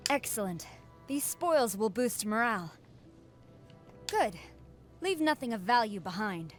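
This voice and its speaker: A woman's voice: a little high, sing-song, a little slow, soft background music. A woman voicing a stern, businesslike female military commander in a video game. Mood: brisk, serious.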